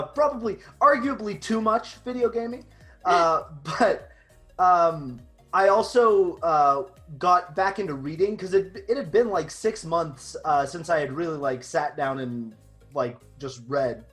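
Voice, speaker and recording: A nineteen-year-old man from Southern California, a drum major, talking on a video call in a relaxed way and laughing easily. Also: a little high, takes it slowly, faint music behind.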